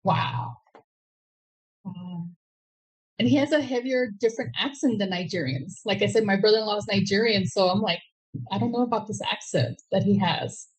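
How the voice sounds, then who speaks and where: A woman's voice: mid-range voice, normal speed, husky, poor audio. An older woman guest, reflective and a bit stunned, often saying wow.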